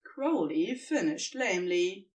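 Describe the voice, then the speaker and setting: A woman narrating fan fiction as an expressive scripted audiobook reading. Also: medium pitch, slow, far from the mic.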